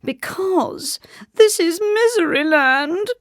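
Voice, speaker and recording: A woman voicing a miserable storybook king who sobs and wails his words in a drawn-out way. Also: a little high, big pitch swings, dry recording, studio quality, voice pushed up, exaggerated voice. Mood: wailing, miserable. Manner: sobbing, drawn-out wailing. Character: tearful, wailing king of a miserable land.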